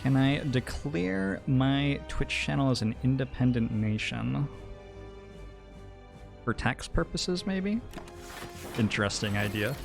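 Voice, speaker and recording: A man's voice: medium pitch, animated, takes it slowly, gravelly, pauses often, over music. A man from New Zealand, a game streamer, chatting with viewers in a thoughtful, hesitant, musing way. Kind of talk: casual livestream chat.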